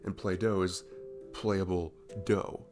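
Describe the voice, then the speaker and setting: A man's voice: low-pitched, flat delivery, takes it slowly, music in the background. A man playing a sweet, cute barista in an intimate ASMR roleplay, chatting in a rambling, self-deprecating and hesitant way. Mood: playful, self-mocking. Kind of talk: intimate ASMR roleplay, soft improvised-sounding chat.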